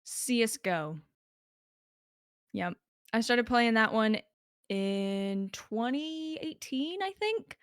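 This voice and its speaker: A woman's voice: on the high side, animated, takes it slowly, long pauses, smooth, dry recording, clean studio sound. A young woman as a podcast guest, talking in a chatty way with lots of filler words. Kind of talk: casual podcast conversation.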